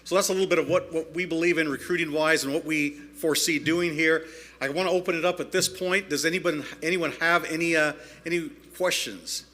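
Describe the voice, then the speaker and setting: Man, head coach, press conference, earnest, fairly high pitch, bright voice. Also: brisk. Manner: earnest, steady, lists goals.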